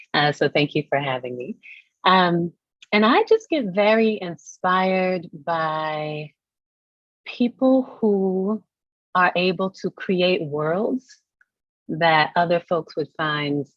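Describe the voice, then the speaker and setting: Slow-spoken woman, dull dry recording. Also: on the low side, no echo. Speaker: reader, event participant. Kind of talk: reflective informal introduction.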